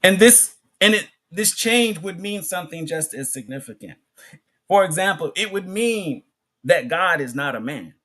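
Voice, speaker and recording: A man giving an Islamic sermon as a public address, speaking emphatically in short phrases with pauses, repetition and rhetorical questions. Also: high voice, animated, pushed and bright. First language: English. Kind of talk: sermon, public religious lecture.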